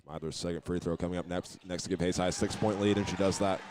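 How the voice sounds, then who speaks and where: An American man calling live high school basketball as a play-by-play radio announcer. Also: steady tone, fast talker, noisy background. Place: indoor auditorium at a basketball game.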